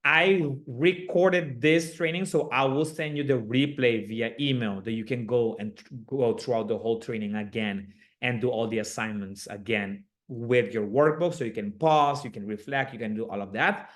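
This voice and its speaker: A man's voice: medium pitch, moderate pace, few pauses. An upbeat man with a slight Aruban accent runs a live online coaching session and laughs easily between points. His speech is chatty and encouraging. It comes through a video call. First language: Papiamento.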